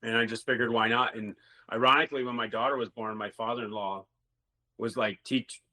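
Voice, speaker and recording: A man's voice: mid-range voice, steady tone, brisk, sharp tone. A middle-aged man, a former hockey player, telling rambling stories in a casual interview and swearing now and then.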